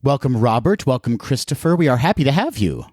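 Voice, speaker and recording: A man with a nasal, stuffed-up voice co-hosts a podcast in a playful, joking way. His pitch swings up and down a lot as he talks. The sound is close and dry, with no room in it. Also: sing-song, fairly quick, darkish tone, no echo. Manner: playful, jokey. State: congested with allergies, nasal. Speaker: podcast co-host. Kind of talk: casual chat.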